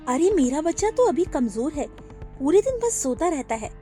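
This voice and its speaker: A woman's voice: high-pitched, very animated, normal speed, dark tone, faint music behind. A woman voicing a loving, anxious young mother sparrow in a cartoon story, with an emotional, sing-song delivery. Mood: worried.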